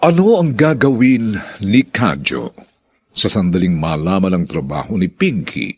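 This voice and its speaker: Slow, husky Filipino drama announcer, male. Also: sits low, very animated, darkish tone. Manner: theatrical, formal. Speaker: radio drama announcer-narrator. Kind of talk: dramatic scripted narration.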